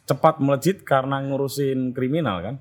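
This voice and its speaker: A man's voice: mid-range voice, fairly quick. Casting: man, friendly interview host, teasing and chatty. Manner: teasing, chatty, laughs often. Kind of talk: casual interview chat.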